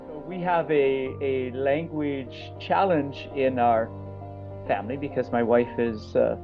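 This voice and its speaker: Halting, reflective man, music playing behind. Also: medium pitch, fairly even intonation. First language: English. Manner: halting, reflective, pausing mid-thought. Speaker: coach. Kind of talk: personal testimonial talk to an audience. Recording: slightly dull audio with music underneath.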